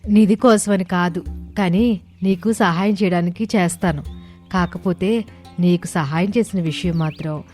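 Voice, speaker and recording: Assertive village woman voiced by an actress, sarcastic, chiding, low and mellow, light music behind. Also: a little slow. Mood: secretive. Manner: firm, chiding.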